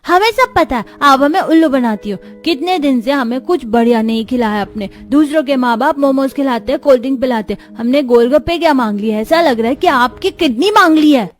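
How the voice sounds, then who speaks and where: A woman's voice: sits high, expressive, brisk, hardly any pauses, soft background music. A woman voicing a pouting, demanding, cheeky young child in a cartoon story. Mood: sulky, complaining.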